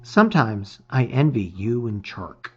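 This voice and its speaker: A man's voice: medium pitch, sing-song, a little slow, husky, dull audio. A man voicing an anxious, earnest young lizard boy in a storybook adventure. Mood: uneasy, wistful. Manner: hesitant, exclamatory.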